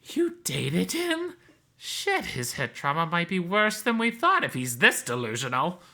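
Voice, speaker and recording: A man's voice: sits high, huge pitch swings, unhurried, gravelly, bright, forceful tone, pitched above the performer's own voice, full character voice. A man voicing a snarky, incredulous male hyena in a visual novel, with a mocking manner. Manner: mocking, sarcastic, exclamatory.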